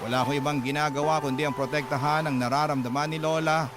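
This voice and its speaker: A man narrates a radio drama in an earnest, somber tone. His voice sits a touch high for a man. Loud music runs underneath the whole time. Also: fairly even intonation, fast. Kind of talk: scripted narration of a letter.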